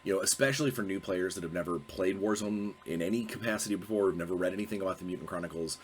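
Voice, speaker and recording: Deep-voiced man with a stuffy cold. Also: rapid-fire, slight background noise. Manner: rambling, self-correcting, many fillers. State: congested, sniffly from a cold. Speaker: host. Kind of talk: casual solo video talk.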